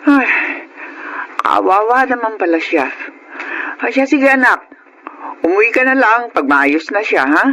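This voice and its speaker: A performer playing a caring, inquisitive mother of a grown daughter, animated and expressive, in a Tagalog radio drama. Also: mid-range voice, measured pace, long pauses. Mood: caring, surprised. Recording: faintly noisy radio drama audio.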